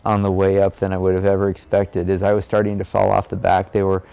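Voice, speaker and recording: A man with a low voice and a gravelly creak talks in an informal, reflective way. His delivery is earnest and halting, with very little movement in pitch. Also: monotone, warm tone. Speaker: amateur cyclist and blogger.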